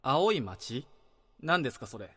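A man's voice: medium pitch, big pitch swings, unhurried, a bit bright, husky, dry recording, clean studio sound, full character voice. An actor playing a brash, complaining young male office worker in his twenties in a radio drama.

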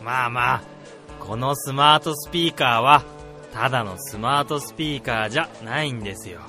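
A man playing an eager, excitable researcher who is deferential to his boss, in a comedic radio drama. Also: medium pitch, moderate pace, soft background music, natural voice. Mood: eager presenting. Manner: exclamatory, eager. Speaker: voice actor, also narrator.

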